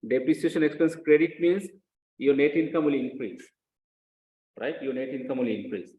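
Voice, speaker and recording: A man's voice: medium pitch, normal speed, darkish tone. A man giving a lecture as he works through an example step by step, repeating phrases and checking understanding with short questions. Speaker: accounting lecturer. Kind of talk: teaching lecture with worked example. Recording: echoey room, dull muffled sound.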